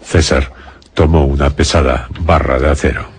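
A man's voice: very deep voice, steady tone, normal speed, slight background noise. A man narrating a scripted suspense radio play in a solemn storytelling manner. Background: prominent dramatic music.

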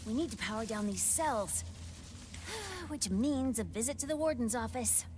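A woman's voice: fairly high pitch, animated, moderate pace, heavy background noise, lightly acted. A woman playing a spirited but guarded young resistance fighter in a game, blunt and clipped in her delivery. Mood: brisk, businesslike. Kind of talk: animated video game acting. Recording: music playing behind.

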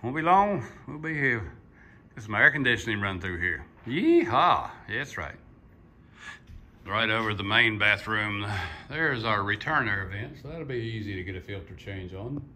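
A man's voice: on the low side, expressive, normal speed, pushed and bright. Folksy older man touring a build. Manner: folksy, chatty, with many "uh" fillers and "yeehaw". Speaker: vlogger touring his house build. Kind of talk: casual walkthrough narration. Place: unfinished wooden house frame, indoors and on outdoor steps. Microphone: phone camera held by the speaker.

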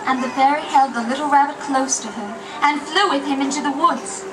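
High-voiced woman narrating a storybook tale. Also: fairly quick, noisy background. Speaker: puppet show narrator. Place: puppet theatre, heard from the audience. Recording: distant puppet theatre recording, music behind.

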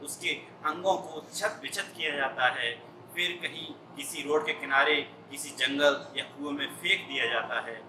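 A young man, slowly declaiming a poem and repeating each line. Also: a little high. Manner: slow, repetitive declamation with each line said twice. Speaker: poet reciting his poem. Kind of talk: poetry recitation. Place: indoor room in front of a brick wall. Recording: echoey room, mic at a distance, clear background noise.

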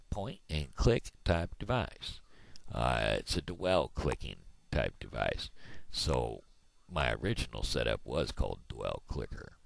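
Folksy low-voiced man with headset. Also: gravelly, dry recording. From Missouri, USA. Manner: folksy, rambling, drawling. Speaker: video blogger. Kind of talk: casual rambling monologue. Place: small room indoors. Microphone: headset microphone.

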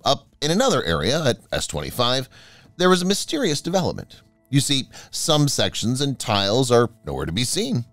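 A man's voice: mid-range voice, a bit bright. A man reading a scripted voice-over narration with a dramatic, swooping delivery. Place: voice-over, not recorded on site.

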